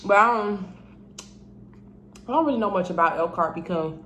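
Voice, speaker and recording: A woman's voice: mid-range voice, expressive, moderate pace, a bit bright, echoey room, muffled recording, slight background noise. A woman in her twenties, tipsy, chatting casually while eating on camera, humming and chewing between stories. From Indiana, raised in Miami and Georgia. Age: 20s. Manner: chatty, chews and hums while talking. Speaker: mukbang vlogger. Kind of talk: casual eating vlog chat.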